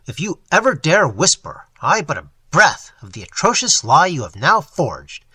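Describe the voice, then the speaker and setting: A man's voice: a little high, sharp tone, slightly rough, no echo, heavily acted. A man reading a stern, menacing older judge who delivers cold, commanding threats.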